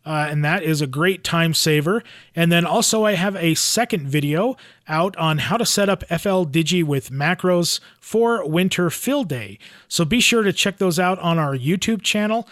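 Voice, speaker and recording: A man's voice: fairly high pitch, fairly bright tone, dry recording, clean studio sound. An American man hosting a podcast, giving announcements and introducing an interview.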